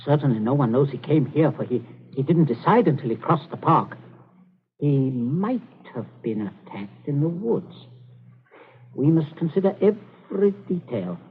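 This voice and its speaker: A man's voice: fairly high pitch, lively intonation, lightly acted. An actor playing a middle-aged father, outwardly genial but coldly calculating and menacing, sometimes stammering, in a 1940s radio drama style. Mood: tense, brooding. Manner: stammering when flustered, deliberate. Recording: noisy, tinny 1940s radio recording.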